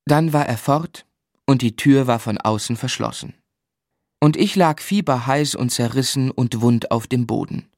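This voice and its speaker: A male actor narrating a radio play as a grown man looking back on his boyhood, in a measured, reflective storytelling manner. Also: mid-range voice, a little mellow, no echo, studio quality, close to an everyday voice.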